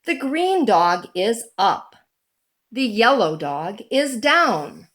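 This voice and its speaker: Slow, animated woman reading a picture book. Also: fairly high pitch. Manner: animated, deliberate, simple storytelling delivery. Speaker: children's book reader. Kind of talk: picture book read-aloud.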